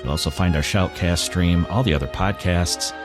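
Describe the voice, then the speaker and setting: A man with a very deep voice hosts a podcast and reads a relaxed, plain outro. Guitar music plays clearly behind him. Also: moderate pace. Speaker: podcast host. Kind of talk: scripted outro.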